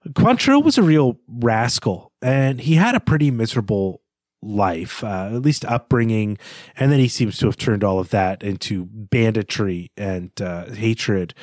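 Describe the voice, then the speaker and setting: A man's voice: mid-range voice, dry recording. Discursive man on a book podcast, dry recording. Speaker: podcast co-host.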